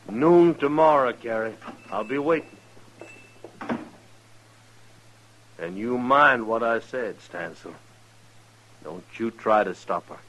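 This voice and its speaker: An actor with an American accent playing a plainspoken, resolute cowboy in a 1950s western radio drama, earnest and firm. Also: male voice, medium pitch, expressive, a little slow, a bit bright, poor audio. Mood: firm, threatening. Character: resolute, plainspoken unarmed cowboy defending his sweetheart. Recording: old radio recording with faint noise.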